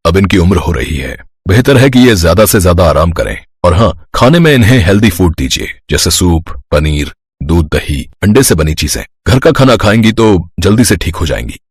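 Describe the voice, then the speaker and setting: A man voicing a concerned doctor in a story, giving advice in a measured way. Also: sits low, big pitch swings, husky, dry recording, studio quality, exaggerated voice. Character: sober male doctor, advising, concerned.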